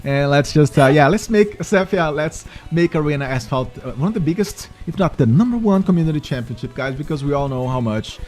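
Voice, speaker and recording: A man from Brazil with a Brazilian Portuguese accent, casting a live esports racing match with chatty, energetic hype for the audience. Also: fairly high pitch, fairly quick, few pauses. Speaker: esports caster.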